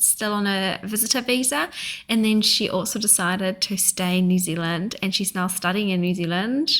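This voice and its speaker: A woman's voice: mid-range voice, fairly bright tone. Upbeat woman hosting a podcast. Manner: upbeat and encouraging. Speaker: podcast host. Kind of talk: casual podcast chat.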